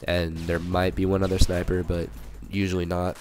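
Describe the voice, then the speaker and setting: A young man with a cold, hoarse and a little raspy, rambling through casual gameplay commentary with many fillers and restarts. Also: low-pitched, completely flat delivery, moderate pace, darkish tone, a little hiss or hum. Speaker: gaming channel commentator.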